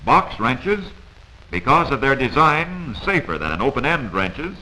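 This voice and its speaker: A man's voice: mid-range voice, big pitch swings, a little slow, sharp tone, rough recording. An American man narrating a scripted 1940s instructional film in a didactic, admonishing manner, heard on an old film soundtrack.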